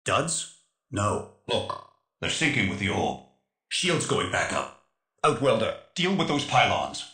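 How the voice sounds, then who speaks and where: A rebel crew's tech expert in a game. His voice is forceful and swoops widely as he gives precise, formal tactical instructions with urgency, in a roomy echo. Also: on the low side, huge pitch swings, slow, heavily acted. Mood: urgent briefing. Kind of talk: dramatic game mission dialogue.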